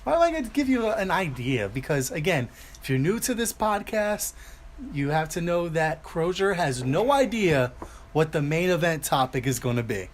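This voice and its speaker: A man's voice: fairly high pitch, big pitch swings, moderate pace. A man hosting a casual talk podcast, upbeat and teasing as he reads announcements and needles his co-host. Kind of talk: casual chat.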